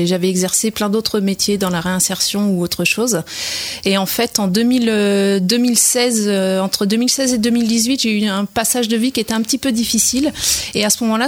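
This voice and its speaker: A woman from the Sarthe area of France, a radio guest speaking in a thoughtful, halting way. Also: mid-range voice, no room sound. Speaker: guest, artist and photographer. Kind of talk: radio interview.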